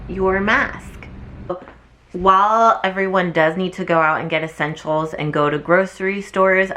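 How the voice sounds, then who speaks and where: A woman's voice: on the low side, fairly bright tone, a little hiss or hum. A young woman, a nursing student, chatting casually to camera with lots of fillers, her voice wearing thin from talking. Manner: chatty and rambling, many fillers. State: losing her voice.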